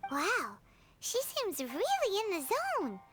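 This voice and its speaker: A woman voicing a tiny, excitable fairy girl companion in a video game, childlike and chirpy. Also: very high-pitched, takes it slowly, full character voice. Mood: excited. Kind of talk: video game character dialogue. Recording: music behind the voice.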